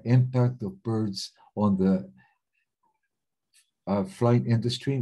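Low husky male interpreter. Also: mellow. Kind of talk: simultaneous interpretation of webinar talks. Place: remote webinar.

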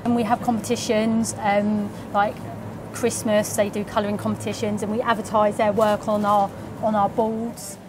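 A woman's voice: mid-range voice, flat delivery, normal speed. A woman in her forties, a retail manager, talking in a friendly, chatty way with frequent ums during an on-site interview in a shop. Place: convenience store, indoors. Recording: noisy shop background. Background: shop ambience.

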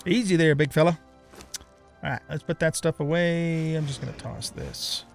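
A middle-aged man talks his way through a video game in a casual let's play style. His voice sits slightly high for a man and carries a strong creak. He thinks aloud as he goes, half to himself, with music playing underneath. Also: normal speed.

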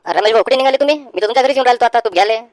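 A man's voice: extremely high pitch, racing, no echo, studio quality. A man voicing a folksy village grocer in a comic cartoon, with a rural Varhadi Marathi accent and a plain-spoken way of talking. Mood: puzzled. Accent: rural Maharashtra, Varhadi. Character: male village grocer, exasperated, distrustful creditor.

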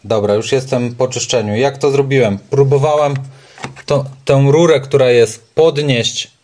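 A man's voice: medium pitch, fairly even intonation, unhurried, darkish tone, a little hiss or hum. Chatty man explaining car repair. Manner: chatty, frank, self-deprecating. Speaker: car mechanic vlogger. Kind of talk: casual how-to commentary. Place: open home garage, rain outside.

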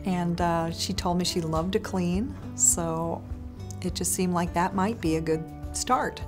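American woman, 40s, slightly low voice, professional testimonial with music behind. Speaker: employment specialist. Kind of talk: interview testimonial. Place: indoor office.

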